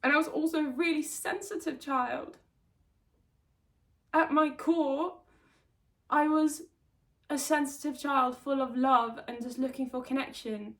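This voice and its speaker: A woman's voice: sits high, steady tone. Earnest woman speaking a little off-mic. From Sweden. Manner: earnest and reflective, with halting restarts. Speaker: vlogger. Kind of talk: personal confessional storytelling. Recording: voice slightly distant from the mic.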